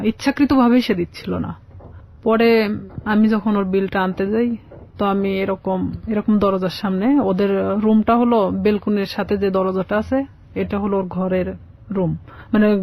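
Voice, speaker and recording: A woman's voice: mid-range voice, gravelly. A woman telling her personal story conversationally as a radio guest, often quoting both sides of conversations. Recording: slightly dull lo-fi radio audio.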